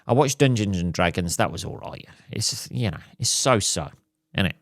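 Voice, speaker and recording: A man hosting his own podcast, rambling casually and crudely with lots of swearing. His voice is a little hoarse. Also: lowish voice, animated, normal speed, dry recording, clean studio sound. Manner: crude, swears a lot, jokey. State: slightly hoarse. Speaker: podcast host. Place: at home.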